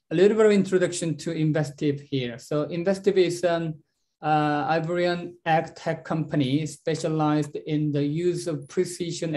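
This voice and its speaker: A man in his thirties hosting an online pitch event as moderator, speaking over a video call. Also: darkish tone. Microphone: video call microphone.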